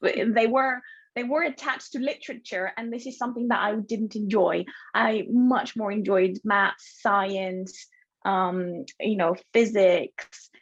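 A woman in her thirties, originally from Bulgaria, answering interview questions in a friendly, thoughtful way, often pausing with fillers. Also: mid-range voice, breathy edge, dull audio. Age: 30s. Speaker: guest, cloud solution architect.

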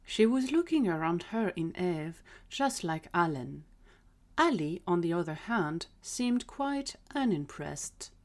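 Low-pitched woman narrating an audio story. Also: very animated, slow pace. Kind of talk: scripted audio drama narration.

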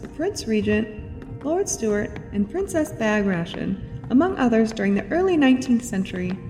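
An actress playing a polished, reassuring public announcer in an audio drama, speaking formally and courteously. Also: female voice, fairly high pitch, darkish tone. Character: polished, reassuring female welcome announcer of an undersea city. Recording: long-reverb announcement over light music.

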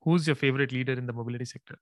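A man's voice: mid-range voice, steady tone, racing, dry recording, clean studio sound. A man hosting an interview in a friendly way, often repeating words and using non-native phrasing. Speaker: interview host. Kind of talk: interview conversation.

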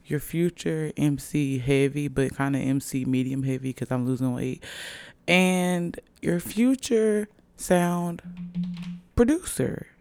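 A young woman hosting her own podcast, chatting casually and playfully in a raspy, hoarse voice after a long night. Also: low-pitched, slow pace, dark tone, no echo. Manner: chatty, playful, hyping herself up. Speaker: podcast host. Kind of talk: casual solo podcast chat. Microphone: podcast microphone.

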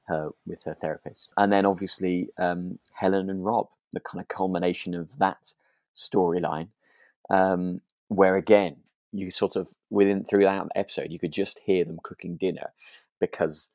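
Low-voiced man, dry close sound. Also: steady tone, moderate pace, darkish tone, no echo. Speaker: podcast co-host. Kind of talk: casual chat about a radio drama.

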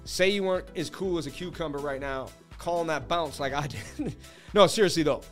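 Host: man, going hoarse, boastful, excitable trading streamer, faint music bed behind. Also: fairly high pitch, brisk. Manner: hyped, boastful, laughing banter with chat. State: losing his voice. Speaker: crypto livestream host. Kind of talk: casual livestream market commentary.

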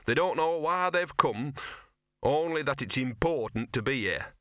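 A man's voice: medium pitch, lively intonation, a little slow, fairly bright tone, dry recording, clean studio sound, pitched above the performer's own voice. A man voicing a scruffy talking dog, a knowing, chummy know-it-all with colloquial speech, in a storybook reading. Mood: conspiratorial.

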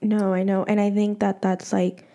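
A woman's voice: mid-range voice, flat delivery, normal speed, warm tone. A woman hosting a podcast in casual chat, talking loosely with lots of fillers and restarts. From United States. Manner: chatty, many fillers and restarts. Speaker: podcast host.

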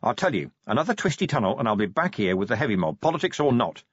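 Fantasy audiobook police commander, acted by a male reader: gruff, husky man, sardonic, short fuse, blunt delivery. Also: mid-range voice, big pitch swings, fast, fairly bright tone, no room sound, lo-fi sound. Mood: irritated, growling.

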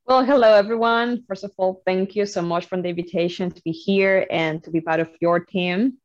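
A woman's voice: medium pitch, steady tone, normal speed, lo-fi sound. A young Spanish-speaking woman from Cuba with a Cuban accent, talking casually as an interview guest. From Havana, Cuba.

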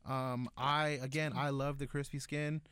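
A man's voice: mid-range voice, little pitch movement, measured pace, fairly bright tone, clear, resonant tone, no room sound. A man co-hosting a casual food chat, talking in a laid-back, slangy way. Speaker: podcast co-host.

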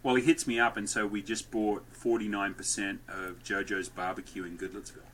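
A man guesting on a podcast, telling stories and dad jokes loudly in a rambling, lively way. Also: on the low side, a little fast. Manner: loud, joke-telling, rambling. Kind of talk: lively conversational storytelling.

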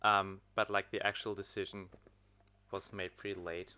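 Young german guy, low voice but bright and crisp, relaxed podcast chat. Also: normal speed. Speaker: podcast guest.

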